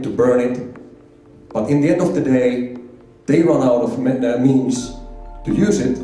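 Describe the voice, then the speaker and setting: A man from Finland gives an emphatic business presentation, driving home his figures by repeating them. His voice is mellow and dark-toned, heard from a distance in a very echoey hall with faint music behind. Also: mid-range voice. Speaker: conference presenter. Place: large conference hall.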